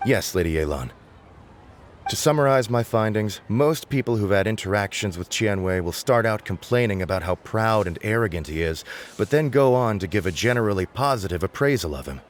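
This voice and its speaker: A man's voice: on the low side, animated, fairly quick, close to an everyday voice. A man playing a cocky, boastful young informant in a video game, with a smug, theatrical delivery. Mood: informative. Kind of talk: video game character dialogue.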